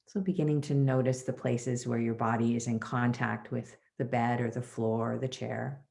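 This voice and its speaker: Female meditation instructor, very deep register with gravelly fry, soothing and measured delivery for a guided body scan. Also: level tone, darkish tone. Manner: soothing, measured, unhurried pauses between phrases. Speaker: meditation teacher leading a class.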